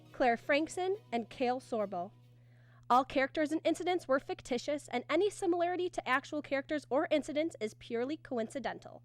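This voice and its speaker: A woman announcer reading scripted credits in a 1950s radio style. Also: high-pitched.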